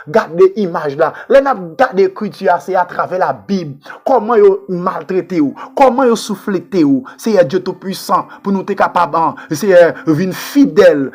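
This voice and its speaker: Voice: medium pitch, slightly rough. Haitian prayer leader, fervent and swooping. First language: Haitian Creole. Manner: fervent, pleading, repetitive. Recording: music playing under the voice. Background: music.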